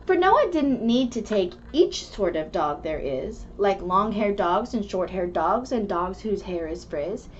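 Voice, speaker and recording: Woman, children's book read-aloud, lively swinging intonation, measured storytelling, slightly muffled lo-fi recording. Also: medium pitch. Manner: animated, measured storytelling delivery. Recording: dull lo-fi recording with background noise.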